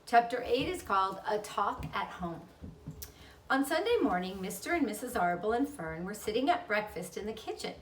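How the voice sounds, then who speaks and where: Lively woman reading a children's story. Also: mid-range voice, moderate pace, a little hiss or hum. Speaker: read-aloud narrator. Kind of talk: expressive children's storybook narration. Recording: echoey room, distant mic.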